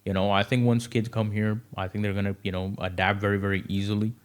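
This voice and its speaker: A man's voice: fairly low pitch, flat delivery, quick pace. A young man from Saudi Arabia, a real estate agent, talking casually on a podcast and filling his speech with "you know.". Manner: loose and conversational, many fillers like "you know".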